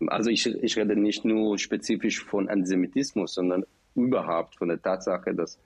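A man's voice: fairly low pitch, normal speed, a little mellow, slightly rough. Hesitant man with an Israeli accent. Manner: hesitant, many fillers and restarts. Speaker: studio guest, professor. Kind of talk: radio discussion.

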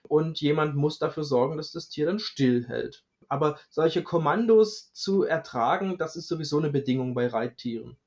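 Higher-voiced man explaining, dull recording. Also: moderate pace, a little mellow. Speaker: dinosaur expert guest. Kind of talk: informal expert explanation. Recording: dull, band-limited sound.

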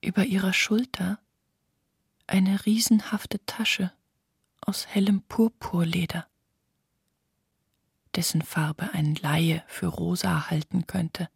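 A woman reading literary prose for a radio drama in a breathy, whispery voice with deliberate phrasing. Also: lowish voice, steady tone, no room sound, studio quality. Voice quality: breathy, whispery, largely unvoiced.

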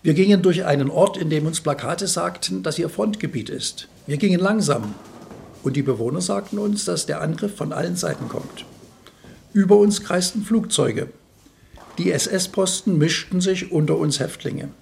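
Male witness reader, fairly high pitch, strong creak, plain first-person account, faint noise behind. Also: normal speed, darkish tone. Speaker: reader of a prisoner's testimony.

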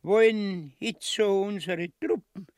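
A man's voice: high voice, slow, darkish tone. An actor playing a vain, gullible old king in a grotesque comedy, with stammering, pompous, courtly speech. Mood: jovial, pompous. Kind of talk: grotesque stage comedy acting.

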